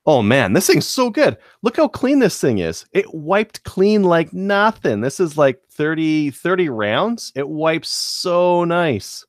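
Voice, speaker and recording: A man's voice: on the high side, very animated, takes it slowly, few pauses, no room sound, clean studio sound. A man talking casually as a podcast co-host, explaining things in stop-start phrases. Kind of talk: casual gun-hobby chat.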